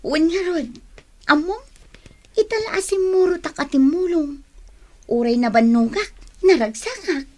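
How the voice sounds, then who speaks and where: An actress playing a down-to-earth, kindhearted young woman in an Ilocano radio drama, animated and expressive. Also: very high-pitched, unhurried, long pauses. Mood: glad, willing.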